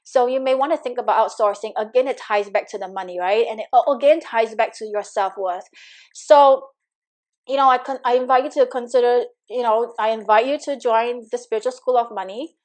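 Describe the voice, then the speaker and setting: A woman with a Singaporean accent runs a live coaching webinar in a somewhat high voice. She talks in a chatty, encouraging way, full of fillers, and greets viewers by reading their comments aloud. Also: brisk. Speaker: money coach.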